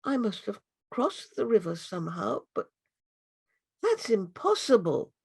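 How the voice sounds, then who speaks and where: A storyteller voicing a lost, frightened girl in the jungle, speaking in a high, anxious, breathless way. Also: very animated, a little slow, breathy edge, dry recording, clean studio sound, exaggerated voice.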